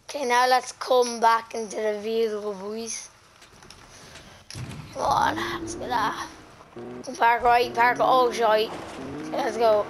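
A young woman talks her viewers through a driving game in loose, sweary slang. Her voice is hoarse and sits a bit high, and she sounds thoroughly worn out. The recording has a steady noisy hiss under her, with game engine sounds behind. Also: slow pace, long pauses. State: exhausted and hoarse. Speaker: gaming video creator. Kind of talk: casual gameplay commentary.

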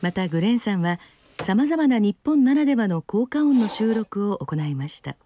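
A woman's voice: medium pitch, sing-song, fast, warm tone, a little hiss or hum. A woman reading scripted narration for a television news report.

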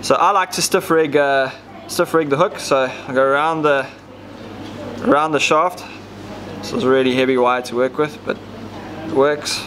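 A man's voice: medium pitch, noisy background. A man, casually explaining step by step how to rig fishing gear, with plenty of ums. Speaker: fishing tackle demonstrator. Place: indoor tackle shop counter.

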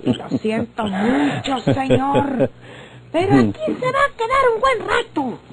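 A woman's voice: high-pitched, huge pitch swings, a little slow, a loud crowd, full character voice. An actress playing a bold, defiant armed country woman with folksy Mexican speech in a radio drama. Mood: defiant, threatening. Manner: commanding, folksy rural speech. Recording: noisy old radio drama recording.

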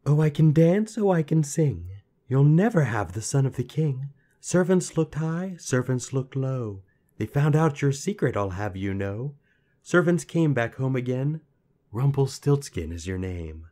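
Man putting on an urgent, high-voiced young woman. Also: big pitch swings, unhurried, dark tone. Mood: triumphant singing. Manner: high-pitched, urgent, pleading. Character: young woman, desperate pleading mother in fairy tale.

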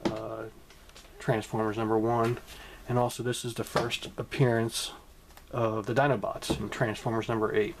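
A man's voice: on the low side, level tone, normal speed, background noise. A man casually talking to camera about his comic book haul, rambling with many ums and restarts, in a room at home. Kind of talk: casual vlog talk to camera.

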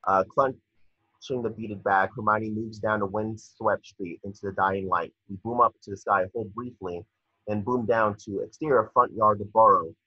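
A young man narrates a screenplay over a dull, dry video call. His voice is low but forceful, with a husky grain. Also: steady tone, normal speed, no echo. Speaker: stage directions reader. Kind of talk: scripted narration of screenplay directions. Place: indoor room at home, on a video call.

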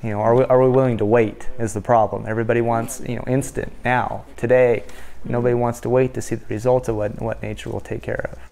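Gravelly-voiced rancher man. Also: mid-range voice, level tone, quick pace, darkish tone. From Wyoming. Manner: earnest, many restarts and fillers. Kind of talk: documentary interview. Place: indoor room.

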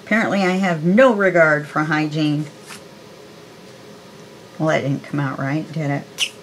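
A woman's voice: low voice, steady tone, unhurried, noisy background. A woman of about sixty chatting casually through a craft demonstration, thinking aloud in a folksy, self-deprecating way. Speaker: crafter presenting a tutorial. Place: indoors at a craft desk.

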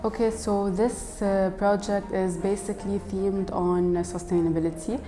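A young woman from Lebanon gives a student testimonial in an interview. Her voice sits at a medium pitch. It carries long room reverb, with music clearly playing behind her. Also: flat delivery, normal speed. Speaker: business school student giving a testimonial. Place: indoors against a plain wall and banner. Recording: reverberant hall, music underneath. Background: clear background music.

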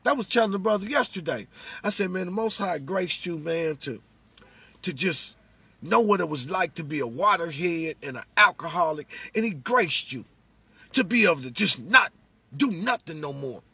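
A man's voice: on the high side, animated, normal speed, pushed and bright, slightly rough, dry recording. A middle-aged American man preaching off the cuff in conversation, emphatic and repetitive, as if testifying. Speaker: religious speaker. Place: inside a parked vehicle.

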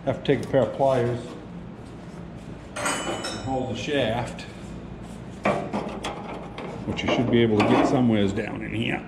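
A man's voice: medium pitch, steady tone, a little slow, vocal fry, long pauses. An older man narrating a tractor engine repair in a large workshop, thinking aloud in a plain-spoken way as he works, often some way from the camera mic. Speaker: farm vlogger. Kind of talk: casual how-to narration. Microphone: camera mic, sometimes across the shop. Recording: noisy shop recording.

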